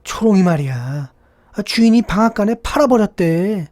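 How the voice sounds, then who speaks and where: A woman's voice: medium pitch, expressive, moderate pace, darkish tone, close to an everyday voice. A woman voicing a gossiping village onlooker in a fable, folksy and exclaiming. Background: background music.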